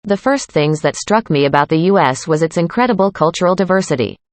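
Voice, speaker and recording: A woman reading a student's part in a scripted classroom conversation, calm and plain. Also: lowish voice, fast talker, no echo. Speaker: student in a dialogue.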